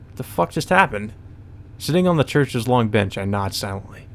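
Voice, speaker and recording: A man's voice: mid-range voice, sing-song, moderate pace, gravelly, fairly bright tone, slight background noise. A man reading visual novel narration aloud as a let's play, mixed with casual, sweary commentary.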